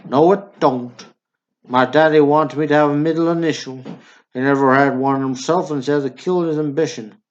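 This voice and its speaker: An actor playing a meek, easily offended small-town store owner, earnest and defensive in an amateur radio play. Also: male voice, a little high, steady tone, normal speed, natural voice. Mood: stiff, defensive. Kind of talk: amateur radio drama acting. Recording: dull lo-fi radio drama recording.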